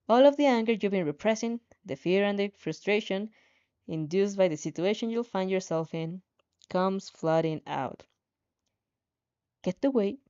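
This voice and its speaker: A woman reading a story aloud dramatically in the second person, expressive and informal, sometimes tripping over her words. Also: mid-range voice. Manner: expressive, stumbles over words and giggles at slips. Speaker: fan fiction reader and reactor. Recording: dull lo-fi sound.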